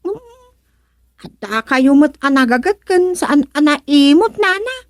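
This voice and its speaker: Woman acting a very high-pitched Ilocano village neighbor. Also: lively intonation, takes it slowly, no room sound, studio quality. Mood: teasing, chatty. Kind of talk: Ilocano radio comedy drama acting.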